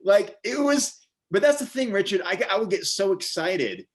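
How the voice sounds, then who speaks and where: A man's voice: sits high, big pitch swings, moderate pace. Rambling American man in his forties. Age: 40s. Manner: rambling, repeats words and phrases. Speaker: interview guest, vegan bodybuilder and author. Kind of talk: casual interview storytelling.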